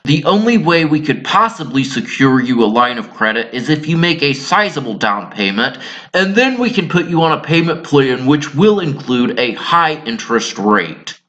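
A man's voice: a little high, very animated, normal speed, fairly bright tone, dull audio. A man voicing a tactless, blandly apologetic male funeral director in a cartoon, matter-of-fact and salesman-like.

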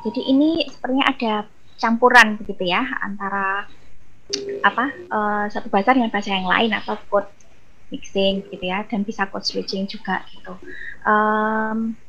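A woman at a medium pitch. She explains like a lecturer, thinking aloud as she goes, through a muffled, lo-fi video-call headset. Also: fairly even intonation, normal speed, sharp tone, a little hiss or hum. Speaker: university lecturer, webinar speaker. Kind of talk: explanatory answer to audience question.

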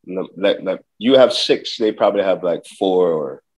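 A man hosting a video call interview, asking questions in a halting way and repeating words. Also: deep voice, darkish tone. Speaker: interview host. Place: indoor home gym. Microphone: video call, webcam close-up.

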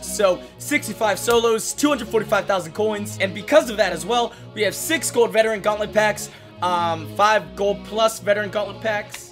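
A young man in his twenties, hoarse from losing his voice, giving excitable casual commentary while opening packs in a video game. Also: sits high. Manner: excitable, hyped outbursts. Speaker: gaming YouTuber. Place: small room at a desk. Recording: music playing behind the voice.

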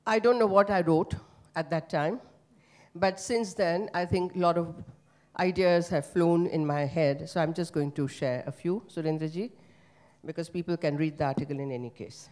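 A woman's voice: fairly low pitch, moderate pace, a little mellow. An older woman whose first language is Gujarati speaks into a handheld microphone in a conference hall, giving conversational panel remarks with many fillers. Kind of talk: panel remarks at a book launch.

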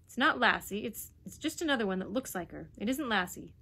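A woman's voice: medium pitch, expressive, fairly quick, vocal fry, bright, forceful tone, husky, exaggerated voice. A woman voicing a fierce, desperate young boy speaking broad dialect. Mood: defiant. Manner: stout, defiant, broad dialect.

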